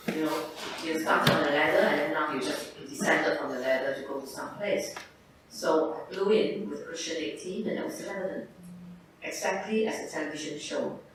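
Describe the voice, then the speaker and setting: An older woman from Singapore, a surgeon giving an informal lecture to medical students and checking in with "right?" and "okay?". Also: low-pitched. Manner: conversational, tags points with "right?" and "okay?". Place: large echoey lecture hall. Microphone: distant room mic. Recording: echoey hall, distant mic, noisy.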